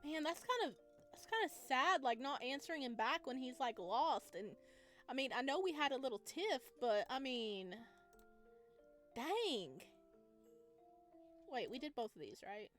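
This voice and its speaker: Gushing high-pitched woman gamer. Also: big pitch swings, a little slow, pauses often, a bit bright, soft background music. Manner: gushing, enthusiastic. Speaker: gaming video host. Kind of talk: casual let's-play commentary.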